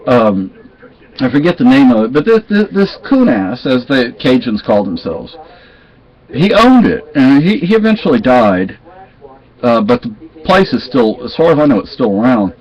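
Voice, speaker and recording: A man's voice: mid-range voice, very animated, moderate pace. A man from Texas with a Southern accent, telling rambling, folksy stories in a casual vlog, often restarting his sentences. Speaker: vlogger. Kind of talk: casual storytelling chat. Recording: dull lo-fi sound.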